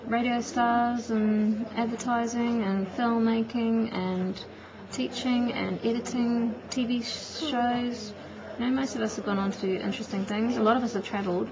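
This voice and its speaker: A woman's voice: on the high side, fairly even intonation, normal speed, hardly any pauses. Chatty New woman on a muffled phone line. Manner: chatty, laughs easily, reflective. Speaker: interviewee, teacher. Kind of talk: casual phone interview. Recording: muffled phone-call recording with crowd noise behind.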